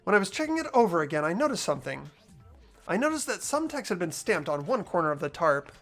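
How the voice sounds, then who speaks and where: A performer voicing an earnest, anxious young male student who reasons out loud, in a fan dub of a video game. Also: medium pitch, big pitch swings, fast, faint music behind. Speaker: main protagonist.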